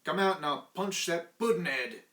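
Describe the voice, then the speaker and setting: A man voicing a blunt young Cockney servant in a read-aloud story, in rough working-class speech full of "sir". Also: lowish voice, expressive, unhurried, bright, forceful tone. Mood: excited. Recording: roomy echo.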